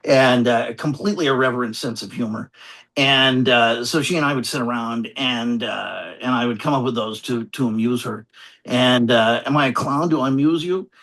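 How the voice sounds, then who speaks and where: A man speaking as a guest author in a conversational interview, reflective and halting, often circling back to repeat phrases. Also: mid-range voice, fairly bright tone.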